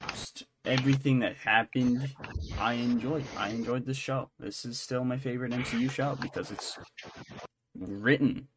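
Man chatting casually over clear background noise. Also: medium pitch, fairly even intonation, normal speed. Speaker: podcast co-host. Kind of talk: casual unscripted recap and review chat.

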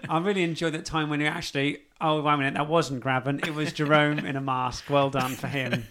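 A man co-hosts a casual football podcast in a sardonic, blunt manner, swearing freely. His voice is fairly high for a man. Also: fairly even intonation, fairly quick, a bit bright, a little hiss or hum. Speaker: podcast co-host.

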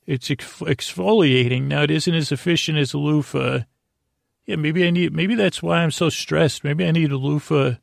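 A man hosting a podcast alone, rambling through whimsical banter in a halting, stammering, meandering way with a gravelly voice. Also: on the high side, no echo, clean studio sound. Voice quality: gravelly, creaky. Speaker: podcast host. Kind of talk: rambling solo banter monologue.

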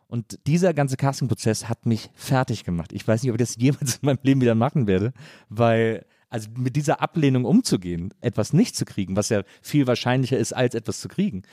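A man's voice: mid-range voice, expressive, fairly quick, warm tone, dry recording, studio quality. A man hosting a casual interview, talking in a colloquial, rambling way. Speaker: podcast host, interviewer.

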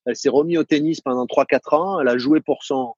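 A middle-aged man from the south of France with a southern French accent, answering interview questions in a halting way, with many fillers and repeated words. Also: medium pitch, darkish tone, husky, dry recording, muffled recording. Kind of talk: casual interview talk. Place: living in the United States.

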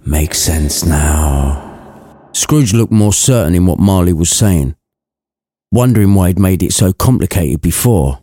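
A man reading a scripted comic story with a dry, wry, measured delivery. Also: low-pitched, lively intonation, dark tone. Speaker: storyteller, podcast reader. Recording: long reverberant hall echo.

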